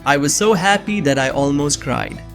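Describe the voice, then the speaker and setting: A man playing a nerdy, anxious young man on the run, narrating a suspense story in a measured, earnest way. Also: fairly high pitch, animated, gravelly, fairly bright tone. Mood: earnest, relieved. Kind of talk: first-person scripted narration of suspense fiction. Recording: music behind the voice.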